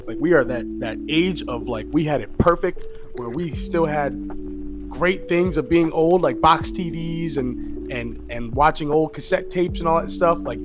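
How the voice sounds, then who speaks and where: A young man in his twenties delivers a casual, rambling rant to camera, with "like" in nearly every sentence. He gets loud and exasperated when worked up. Music plays clearly behind him. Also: fairly high pitch. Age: 20s. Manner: rambling, filler-heavy, says "like" constantly. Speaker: youth coach and vlogger.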